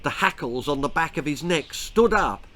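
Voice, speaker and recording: Animated English man telling a story. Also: fairly high pitch, normal speed. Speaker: author reading own story. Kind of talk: dramatic scripted storytelling for children.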